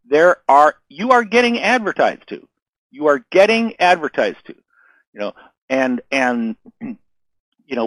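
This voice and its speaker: A man's voice: fairly high pitch, fairly bright tone, no room sound, low-quality recording. A man giving a casual online marketing webinar, answering questions, losing his voice and coughing now and then, with lots of fillers and repeated words. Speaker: webinar presenter.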